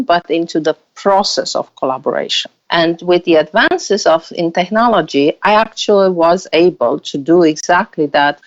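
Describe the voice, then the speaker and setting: A woman researcher from Romania answering interview questions, pausing with fillers as she searches for words. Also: on the low side. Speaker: researcher guest. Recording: dull lo-fi audio.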